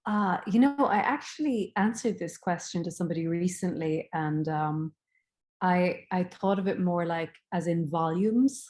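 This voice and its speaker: A woman's voice: lowish voice, normal speed, darkish tone. A woman with an Irish accent, a guest on a casual interview, talking with many ums and restarts. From Ireland. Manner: hesitant, many ums and restarts, laughs easily.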